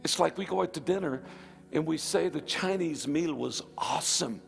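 A man's voice: fairly high pitch, normal speed, vocal fry. A man preaching a live sermon to a congregation, with emphatic, fervent repetition. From Northern Ireland. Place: church hall with a live congregation. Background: music.

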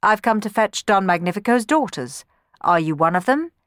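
An earnest, smitten young prince from a storybook, read by a woman. He has a questioning manner and animated intonation. The sound is very dry. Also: mid-range voice, moderate pace, no echo, pitched above the performer's own voice, lightly acted. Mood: inquiring.

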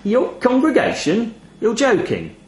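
A man's voice: very high-pitched, huge pitch swings, a little slow, roomy sound, voice pushed up, exaggerated voice. A man playing the wry, incredulous hero of a short story, questioning in a sardonic, slightly hesitant way. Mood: sceptical. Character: wry, incredulous male narrator-hero confronting a talking raven. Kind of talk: audio short story character dialogue.

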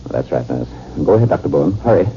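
A man in a 1950s radio drama, playing a calm, commanding military surgeon who gives clipped instructions. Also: deep voice, fast talker. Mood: terse, focused. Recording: muffled, noisy 1950s radio recording.

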